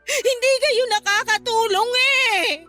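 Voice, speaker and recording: Radio drama: young wife near tears, very high, bright voice, soft music under. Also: female voice, measured pace, full character voice. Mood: upset, pleading. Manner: tearful, pleading, breaking into sobs. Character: young wife, 30, distraught and tearful. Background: soft background music.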